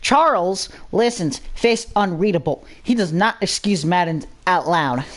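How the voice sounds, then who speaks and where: A woman's voice: on the low side, lively intonation, measured pace. A woman reading a scripted story aloud as a dramatic narrator.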